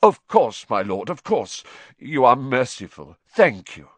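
A man's voice: mid-range voice, very animated, measured pace, no echo. A man voicing a fawning aristocratic follower in an audiobook, speaking swiftly and eager to please. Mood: eager excuse.

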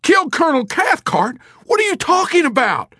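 A man's voice: a little high, sing-song, moderate pace, vocal fry, no room sound, clean studio sound, pitched above the performer's own voice, full character voice. A man voicing a self-important, stubborn airman in an audiobook, smug yet easily alarmed. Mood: terrified, roaring.

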